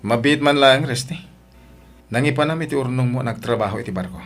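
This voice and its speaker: A man's voice: mid-range voice, brisk, fairly bright tone, soft background music, lightly acted. A man playing a stern elder brother who questions and confronts in a family radio melodrama. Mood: reproachful. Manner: stern, interrogating.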